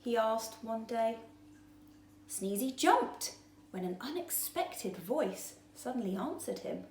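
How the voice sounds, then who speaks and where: A woman reading her own children's picture book aloud with slow, expressive storytelling. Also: fairly high pitch. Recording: roomy echo, faint background noise.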